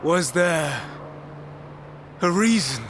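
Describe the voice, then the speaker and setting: A man's voice: on the high side, lively intonation, music in the background. A man playing an earnest, remorseful researcher in a video game, speaking formally and solemnly. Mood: subdued, pleading. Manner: formal, solemn, measured theatrical delivery. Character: grieving, earnest male researcher, remorseful and searching for meaning. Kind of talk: dramatic video game acting.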